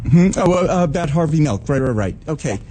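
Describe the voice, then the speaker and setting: A man hosting a radio talk show, chatting in a relaxed way with many ums and uhs. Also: on the high side, fairly quick, a crowd in the background. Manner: relaxed, with filler "um" and "uh". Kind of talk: radio interview chat.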